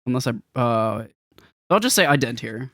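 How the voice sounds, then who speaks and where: A man's voice: medium pitch, very animated, moderate pace, a bit bright, dry recording, studio quality. A man narrating a game demo in an informal tutorial style, rambling a little as he thinks aloud. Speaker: game developer demonstrating own game. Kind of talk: casual screen-recorded software demo and tutorial commentary.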